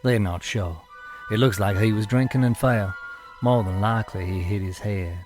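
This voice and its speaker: A man's voice: on the low side, moderate pace, a little mellow, faint music behind, natural voice. An actor playing a grieving, suspicious man with sombre delivery in an audio drama. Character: Mark, grieving, suspicious bereaved cousin.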